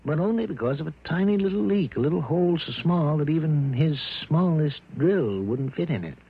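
A plodding American police chief, fairly high for a man and raspy. He is folksy, plainspoken and a bit baffled, on a dull vintage radio recording. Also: animated, brisk. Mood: puzzled. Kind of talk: mid-century radio drama acting.

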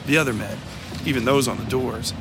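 A man narrating a dark fiction podcast in a scripted, dramatic storytelling style. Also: mid-range voice, brisk, background noise. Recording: loud music behind the voice.

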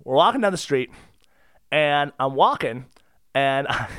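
A man co-hosting a comedy podcast, casually telling stories in a giggly, excitable, protesting manner. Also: mid-range voice, a little slow, smooth. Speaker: podcast co-host.

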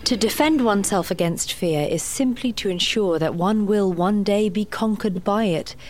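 A woman's voice: mid-range voice, moderate pace, a little mellow, lightly acted. A woman playing a wry, easily exasperated crime writer in an audio drama, often muttering sardonically. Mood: reflective.

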